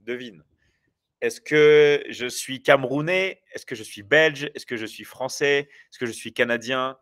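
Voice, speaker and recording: A man's voice: mid-range voice, unhurried, a bit bright. A man in his forties hosting a casual livestream, chatting loosely with viewers and rambling with many hesitations and restarts. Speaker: livestream host.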